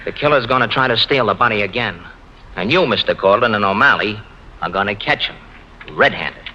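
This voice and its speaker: A sharp, forceful detective barks crisp orders with wisecracking confidence. His mid-pitched voice sits in a dull, noisy old radio drama recording. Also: animated, fairly quick. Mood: commanding. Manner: clipped, commanding, wisecracking. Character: brisk bossy male detective, confident and crafty. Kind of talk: 1950s radio drama acting.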